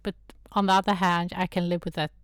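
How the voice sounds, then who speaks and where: A woman's voice: lowish voice, level tone, quick pace, clean tone, no room sound, studio quality. A woman from Sweden with a Swedish accent, a guest in a casual interview who talks with hesitant restarts and frequent laughter. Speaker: guest, toy photographer.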